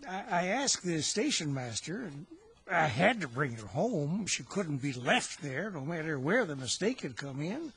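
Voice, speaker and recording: A man's voice: a little high, big pitch swings, fairly bright tone, slight background noise, exaggerated voice. A man playing a shy, stammering old farmer. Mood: awkward. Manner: hesitant, stammering, slow. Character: shy, halting older farmer, gentle and awkward. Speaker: actor playing Matthew. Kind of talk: audio drama acting.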